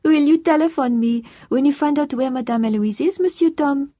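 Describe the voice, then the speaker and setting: Woman acting a high-pitched, anxious housekeeper. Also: moderate pace, warm tone, dry recording, natural voice. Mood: anxious, deferential. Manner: fretful, flustered. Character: anxious French woman housekeeper, deferential and distressed.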